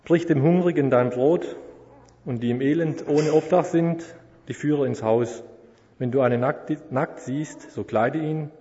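A man from Lower Saxony, a social worker, introducing himself and reading a scripture passage in a steady, measured way at a church service. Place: church hall. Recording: echoey church hall, dull lo-fi sound.

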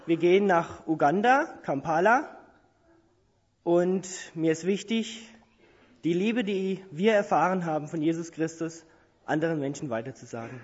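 A man from southern Germany introduces himself calmly and sincerely at a church service. His voice is husky and sits high for a man. The recording sounds dull and lo-fi. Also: a little slow, big-room sound. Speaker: missionary.

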